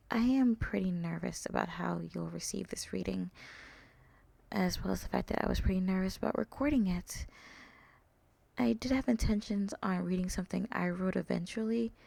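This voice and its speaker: A woman with a soft voice reading a story aloud as its narrator. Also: medium pitch, normal speed, vocal fry, no room sound. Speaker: narrator and author.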